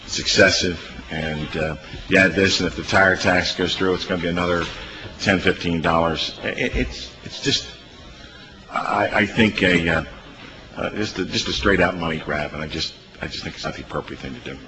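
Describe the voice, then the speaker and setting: Male American legislator, deep-pitched, stumbling through off-the-cuff committee remarks over clear room noise. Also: fairly even intonation. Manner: halting, repetitive delivery. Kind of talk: unscripted remarks at a committee hearing.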